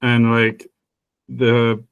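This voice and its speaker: A man's voice: mid-range voice, completely flat delivery, extremely slow, vocal fry, clean tone, no echo. A man co-hosting a podcast, chatting casually about language, rambling with many restarts and laughing at himself. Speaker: podcast co-host.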